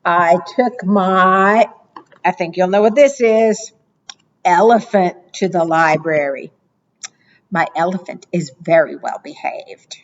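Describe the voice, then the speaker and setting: A woman librarian reading picture books aloud to preschoolers, with expressive, animated delivery, asking the children questions and praising their answers. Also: slow, lo-fi sound. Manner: expressive, animated read-aloud with playful pitch jumps.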